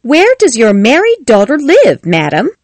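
A sly, bossy barge woman put on by a man, her voice shrill and raised well above his own. She sounds emphatic and insistent in a storybook reading. The recording is dry and close. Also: huge pitch swings, unhurried, dry recording, full character voice. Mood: pushy. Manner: high falsetto woman's voice, emphatic and pushy.

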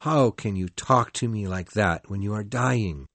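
A man voicing an anguished, defiant male lover with intense, drawn-out phrasing in an audiobook. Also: lowish voice, a bit bright, no room sound. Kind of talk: dramatized audiobook reading.

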